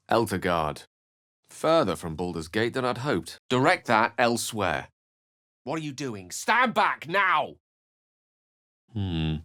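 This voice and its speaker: A man's voice: fairly low pitch, a bit bright. An actor playing a witty, curious male wizard adventurer in a video game, speaking in dry, articulate asides. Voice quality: dry wit, wry and articulate. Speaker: companion wizard character.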